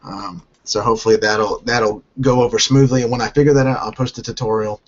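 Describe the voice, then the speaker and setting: A hoarse man from North Carolina hosting a gaming channel, answering viewer questions in a halting way and often restarting his sentences. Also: medium pitch, fairly even intonation, lo-fi sound. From North Carolina, United States.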